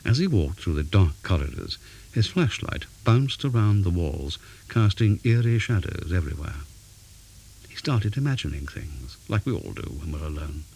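A man reading a scripted ghost story in a measured, dramatic way, pausing for effect. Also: sits low, big pitch swings, vocal fry, a little mellow, rough recording. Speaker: story reader. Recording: faint background hiss.

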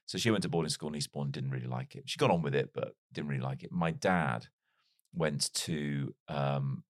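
A very deep male voice with a mild British lilt from a man who grew up in North Wales. He tells stories in a reflective, self-deprecating way. The sound is dry and close, as if recorded on a studio mic. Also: normal speed, no echo. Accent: mild British English, not strongly Welsh. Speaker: podcast guest. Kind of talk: casual interview storytelling.

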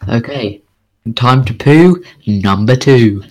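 A man's voice: mid-range voice, animated, slow pace. A man co-hosting a casual podcast, reading odd news as quiz questions in a joking, bingo-host manner.

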